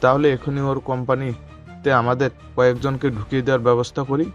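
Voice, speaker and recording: With music faintly behind, a man plays the grown son of a crooked tycoon in an audio drama. He sounds questioning and conspiratorial, in an ordinary male pitch. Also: level tone, a little fast, muffled recording, lightly acted. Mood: plotting. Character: anxious, scheming grown son of a villain tycoon. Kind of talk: audio story acting.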